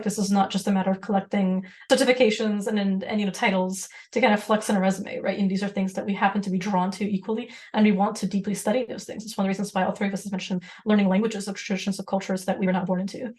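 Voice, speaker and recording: A woman co-hosts a casual podcast discussion. She talks quickly and at length, at a middling pitch, and laughs often as she goes. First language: Serbian. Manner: rapid, talkative, laughs often. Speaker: podcast co-host.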